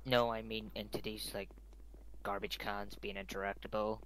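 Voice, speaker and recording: Gravelly, low-voiced man in voice chat. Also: little pitch movement, normal speed, fairly bright tone, poor audio. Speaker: player in voice chat. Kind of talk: casual gaming chat.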